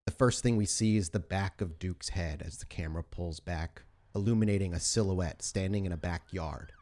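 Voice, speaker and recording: A man narrating as game master, setting a cinematic scene in a measured, dramatic manner. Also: low-pitched, mellow. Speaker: game master narrating a tabletop roleplaying session.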